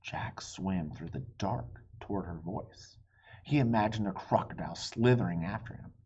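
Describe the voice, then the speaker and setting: A man's voice: deep voice, moderate pace, darkish tone, breathy edge, highs cut off. A man reading a children's storybook aloud with dramatic, suspenseful expression. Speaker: storybook reader.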